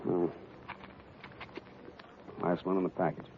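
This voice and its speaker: A man's voice: sits low, completely flat delivery, takes it slowly. An actor playing a hard-boiled male private detective in a 1940s radio drama, talking in a dry wisecracking drawl. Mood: wry. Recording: tinny lo-fi old radio broadcast with hiss.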